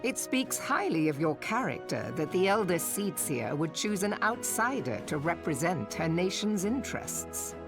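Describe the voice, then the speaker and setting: Commanding, low-toned fantasy admiral. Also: female voice, big pitch swings, moderate pace, hardly any pauses. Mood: stern, courteous. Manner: formal, commanding, composed. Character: stern, dignified female admiral commanding a navy. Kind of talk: scripted fantasy game acting. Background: music.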